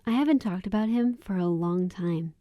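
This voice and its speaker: A woman's voice: on the low side, normal speed, no room sound, muffled recording, lightly acted. A woman playing a kind, earnest and plain-spoken young woman in a radio drama. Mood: wistful. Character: kind, candid young woman with a wistful side.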